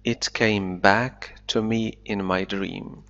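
A man teaching English, reading a novel aloud slowly and deliberately and explaining it line by line, an Arabic speaker. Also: on the low side, steady tone, a bit bright. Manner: slow deliberate reading, then quick explanations. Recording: dull lo-fi recording.

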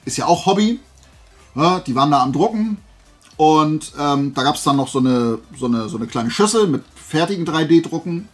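A man's voice: on the high side, expressive, moderate pace, soft background music. A man chatting casually to viewers like a vlogger, in a rambling, digressive and self-deprecating way. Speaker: YouTube vlogger showing flea market and fair finds.

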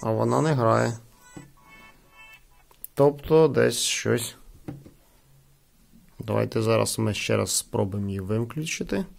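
A man talks through an unboxing in a casual, unscripted way. His voice has a lot of fry in it, and he goes haltingly, thinking aloud. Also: medium pitch, soft background music. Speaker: product reviewer.

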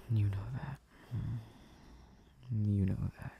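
A man performing gentle, intimate boyfriend ASMR in a soft, soothing, hushed way, close to the microphone. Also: on the low side, takes it slowly, creaky, highs cut off, noisy background. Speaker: comfort audio performer playing a boyfriend. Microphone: close desk microphone.

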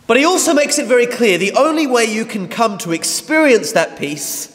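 A priest in his thirties delivers a slow, deliberate homily from the lectern, leaning on long pauses and strong emphasis. His voice sits high for a man and swings widely in pitch as he stresses key words. The sound carries the long reverb of a big church. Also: animated, fairly bright tone. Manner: measured, emphatic preaching with dramatic pauses. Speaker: parish priest. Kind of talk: sermon. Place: large church, in the sanctuary. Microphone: mounted lectern mic.

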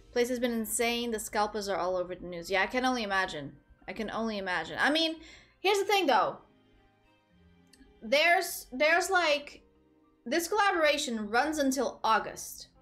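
A young woman from Portugal chats with her stream viewers into a headset mic in a small room. She talks casually, rambling and giggling, in a slightly high voice. Faint music plays in the background. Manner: chatty, giggly, rambling, animated. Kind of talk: casual chat with live chat. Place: small room indoors at a desk.

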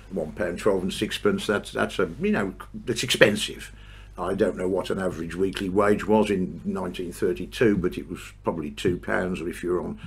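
A man with a husky voice, informally demonstrating old audio equipment in a hesitant, conversational way. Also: low voice. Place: indoor room with record player. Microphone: clip-on mic, not near enough.

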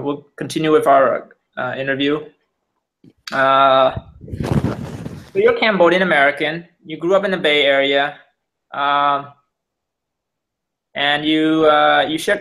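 A man hosting a live online interview, asking questions haltingly with many restarts and fillers. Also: a little high, pushed and bright, slight background noise, poor audio. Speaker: interview host.

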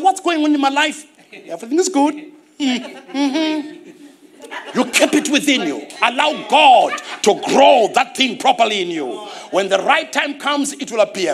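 A middle-aged man with a Zimbabwean accent preaching a sermon on a church stage, animated and repeating key phrases, calling for responses. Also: very high-pitched. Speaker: pastor.

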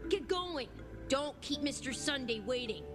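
High-pitched bossy little boy. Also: expressive, a little slow. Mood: indignant, impatient. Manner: imperious, indignant, self-important. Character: bossy, boastful young boy guard with fantasy bravado. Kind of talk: scripted video game character acting. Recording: game music behind the voice.

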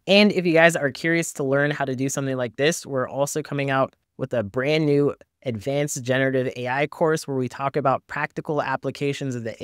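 Male tech reviewer, conversational and explanatory, very clean clear tone, recorded dry in a small home studio. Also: medium pitch, no echo. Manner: candid, explanatory, casual. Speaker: tech review video host. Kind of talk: conversational software review and tutorial commentary.

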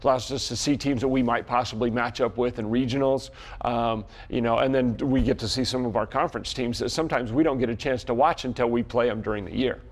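Middle-aged American softball coach. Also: male voice, medium pitch, steady tone, a little fast. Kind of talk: sports interview answers. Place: small indoor locker-style studio set.

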